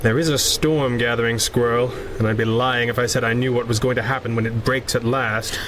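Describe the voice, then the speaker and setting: A man's voice: mid-range voice, steady tone, fairly quick, noisy background, natural voice. A man acting an unflappable, wealthy masked hero in a 1930s-style radio drama, with dry, genteel wit. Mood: grave, measured.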